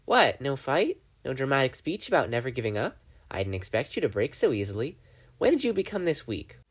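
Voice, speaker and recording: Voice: medium pitch, sing-song, fairly quick, husky. A reader voicing a gleeful, menacing male triangle dream demon who taunts and mocks, in a fan fiction audiobook. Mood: mocking. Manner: taunting, theatrical, mocking.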